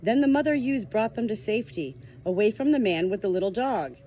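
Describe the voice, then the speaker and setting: A woman's voice: mid-range voice, animated, a little fast, dark tone, natural voice. A woman voicing a confident, grandiose woolly ewe who tells stories with dramatic emphasis, in a storybook reading. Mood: storytelling. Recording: noisy home phone recording.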